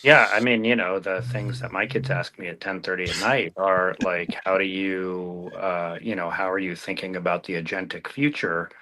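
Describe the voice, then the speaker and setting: Male guest co-host, low, bright forceful tone, dry sarcasm, phone mic. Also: steady tone, moderate pace, few pauses, rough recording. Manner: wry, sarcastic banter. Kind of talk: casual livestream chat.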